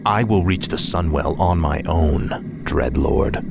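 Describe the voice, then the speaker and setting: An actor playing a cold, arrogant young prince turned death knight in a video game, menacing and imperious. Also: male voice, rumbling low, slow, creaky, very noisy, full character voice. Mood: curt, scheming. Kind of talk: video game cutscene acting.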